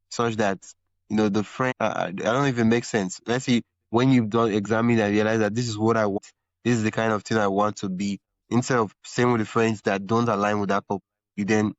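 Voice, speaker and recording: A man's voice: on the low side, no echo, highs cut off. A man hosting a podcast interview, thinking aloud in long, rambling follow-up questions. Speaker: podcast host. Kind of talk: conversational interview.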